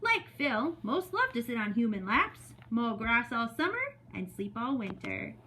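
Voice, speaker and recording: A woman teacher reading a story aloud to young children in an expressive way, with casual spoken asides. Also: a little high, measured pace, a little hiss or hum. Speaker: teacher reading story time to second graders.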